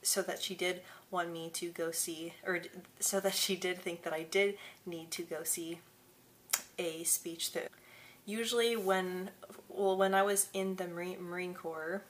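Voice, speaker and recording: Casting: woman, 20s, vlogger with a heavy stutter, low flat delivery, bedroom camera mic. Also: a little slow, a little hiss or hum. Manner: stutters with repeated syllables and blocks. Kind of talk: casual talk to camera. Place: small bedroom indoors. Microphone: camera mic at desk distance.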